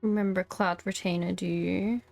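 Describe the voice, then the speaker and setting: A woman's voice: fairly low pitch, fairly even intonation, moderate pace, creaky, a little mellow. A woman game streamer in a casual livestream, reading dialogue and commenting in an informal, joking, slangy way. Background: faint game music.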